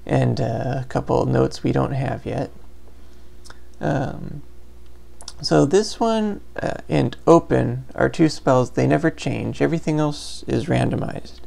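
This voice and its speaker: A man's voice: medium pitch, expressive, measured pace, vocal fry, warm tone. A man giving casual gameplay commentary, explaining things in an informal, rambling way. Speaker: Let's Play commentator.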